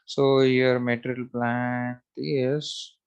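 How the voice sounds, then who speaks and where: A male trainer with a mid-pitched voice walks through a software tutorial step by step. He talks as he works, in short halting phrases, often repeating himself as he thinks aloud and instructs. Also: level tone, smooth, lo-fi sound.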